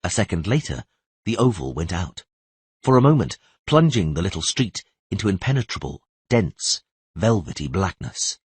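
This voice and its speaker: Very husky male audiobook narrator. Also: low-pitched, sing-song, moderate pace, no echo. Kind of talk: dramatic scripted fantasy narration.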